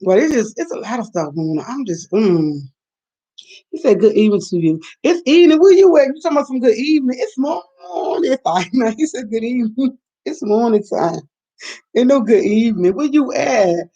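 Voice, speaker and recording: A woman's voice: on the high side, animated, moderate pace, mellow. A woman, rambling through a late-night live chat and reacting to viewers' comments. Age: 50s. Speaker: livestream host. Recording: dull lo-fi sound.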